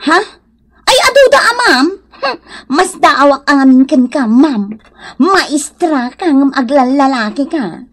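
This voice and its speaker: A woman with a northern Philippine accent playing a nosy, sharp-tongued housewife in a comedy radio drama. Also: high-pitched, animated, measured pace, full character voice. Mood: brazenly taunting. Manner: gossipy, sardonic, exclamatory.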